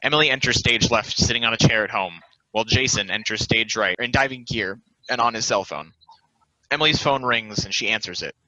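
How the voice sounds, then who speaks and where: Bright, forceful man reading stage directions. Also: lowish voice, fairly quick, no echo. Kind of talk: scripted narration of stage directions at a table read. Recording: dull, lo-fi close dry recording.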